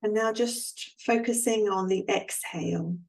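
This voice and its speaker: A woman leading a guided relaxation as an instructor, speaking in a calm, soothing way with long, unhurried pauses. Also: mid-range voice, gravelly, rough recording.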